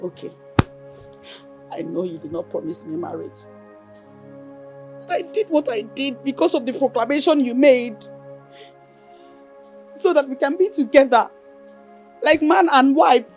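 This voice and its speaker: A woman's voice: sits high, lively intonation, unhurried, pauses often, darkish tone, soft background music. A woman playing an obsessive, desperate young widow in a film drama, pleading and insistent. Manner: intense, pleading then insistent.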